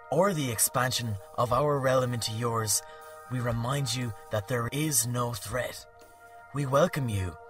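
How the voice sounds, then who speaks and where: A man voicing a gentle, stately goddess queen in an audiobook reading, soft and measured. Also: mid-range voice, natural voice. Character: gentle regal goddess queen, female, ageless.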